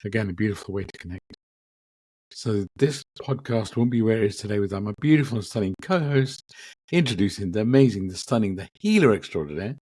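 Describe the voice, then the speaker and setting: Low croaky-voiced man. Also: no room sound. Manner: gentle, reflective, with frequent ums and repeats. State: slightly croaky from a lingering cough. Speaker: podcast host. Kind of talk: casual spiritual podcast talk.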